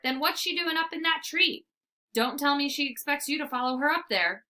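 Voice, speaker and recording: A woman's voice: sits high, normal speed, bright, forceful tone, pitched above the performer's own voice. A woman voicing a large, skeptical female dog in a storybook, haughty and sarcastic, growly when offended.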